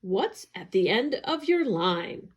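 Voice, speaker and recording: Lady reading an educational newsletter out loud, takes it slow, pretty animated, careful phrasing. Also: medium pitch, breathy edge. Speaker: agency staff reader. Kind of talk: read-aloud of an educational newsletter.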